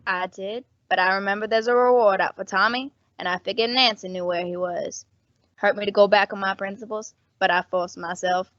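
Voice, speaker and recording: A woman's voice: mid-range voice, fairly even intonation, a little fast, fairly bright tone, dry recording, close to an everyday voice. A woman playing a hard-bitten, wry underworld mother with a blunt, slangy manner in an old-time radio drama. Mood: dryly wry. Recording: dull, dry lo-fi audio.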